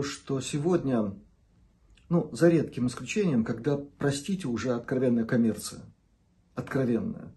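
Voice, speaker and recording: A man with a medium-pitched voice addresses his viewers as a channel host. He speaks very slowly and deliberately, breaking his thoughts into short phrases and drawing out the words he wants to stress. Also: dark tone. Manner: measured and deliberate, stretches emphasized words, pauses between short phrases. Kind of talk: unscripted monologue addressed to viewers.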